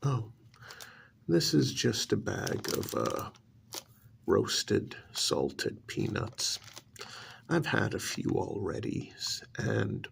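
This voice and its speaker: A man playing a bitter, contemptuous alien creature posing as an uncle in an ASMR roleplay, with stern, emphatic, gravelly delivery that turns breathy at times. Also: on the low side, big pitch swings, very slow, long pauses, a bit bright, slight background noise, exaggerated voice. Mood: incredulous.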